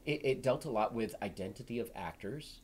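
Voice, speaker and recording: A man chatting casually as a panelist on a live stream, with a jokey, rambling way of talking and animated asides. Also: medium pitch, a little fast, a loud crowd. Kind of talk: casual chat.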